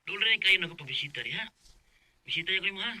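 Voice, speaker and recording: A man's voice: sits high, animated, quick pace, pushed and bright, dry recording, exaggerated voice. A man with a Cebuano Filipino accent playing an eager, friendly suitor in a radio drama. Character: eager male suitor, friendly and keen to visit.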